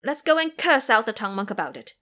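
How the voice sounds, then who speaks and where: A woman voicing an excitable young Taoist boy attendant who talks in an alarmed, rapid way. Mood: agitated. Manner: alarmed, quick, high.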